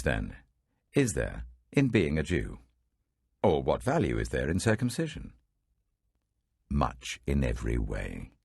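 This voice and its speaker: A man giving a measured, dramatic reading of Bible passages in a close studio recording. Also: gravelly, slightly rough, dry recording. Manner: measured, dramatic, lively pitch shifts. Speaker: Bible reader. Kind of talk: expressive scripture reading.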